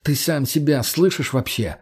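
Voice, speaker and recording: Мужчина в роли раздражённого главаря-зануды, с хрипотцой. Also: male voice, a little high, normal speed, darkish tone, husky. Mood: irritated. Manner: dry, grumbling, sarcastic. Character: irritable, pedantic, weary male gang boss.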